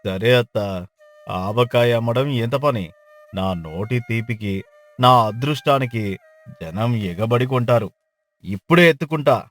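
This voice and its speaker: A man playing a lazy but hopeful village man in a dramatized folk tale, coaxing and boastful, with drawn-out delivery. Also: medium pitch, lively intonation, soft background music, close to an everyday voice. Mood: confident.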